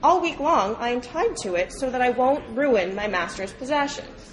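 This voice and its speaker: A woman's voice: a little high, expressive, moderate pace, natural voice, rough recording. A woman voicing a well-fed, dutiful dog from a storybook fable, contented and matter-of-fact.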